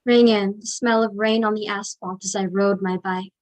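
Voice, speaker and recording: A woman's voice: mid-range voice, fairly even intonation, moderate pace, natural voice, low-quality recording. A woman playing a wistful daughter reliving childhood, speaking lyrical, chant-like lines in a staged play reading. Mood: dreamy, nostalgic. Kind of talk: poetic stage monologue.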